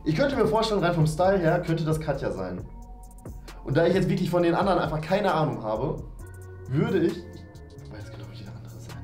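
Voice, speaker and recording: Animated, high-pitched young man hosting. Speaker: game show host and player. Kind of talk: casual, thinking-aloud game video presenting. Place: small decorated room indoors. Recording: roomy indoor echo, music underneath. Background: background music.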